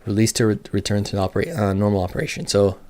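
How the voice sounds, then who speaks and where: A man's voice: fairly low pitch, quick pace, heavy background noise. A man giving a casual, unscripted product demonstration, thinking aloud in a matter-of-fact way as a reviewer.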